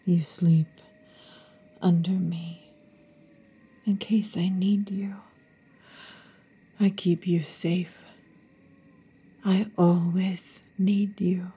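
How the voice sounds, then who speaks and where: A woman's voice: lowish voice, little pitch movement, extremely slow, pauses often, warm tone, husky, exaggerated voice. A woman voicing a possessive, needy female werewolf lover in a whispered, intimate audio roleplay, murmuring and often whispering.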